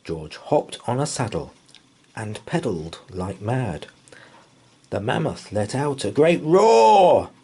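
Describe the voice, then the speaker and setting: A man's voice: medium pitch, big pitch swings, slow pace, creaky, mellow, a little hiss or hum. A man reading a children's bedtime story aloud in rhythmic verse, with a theatrical, dramatic delivery. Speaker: storybook reader.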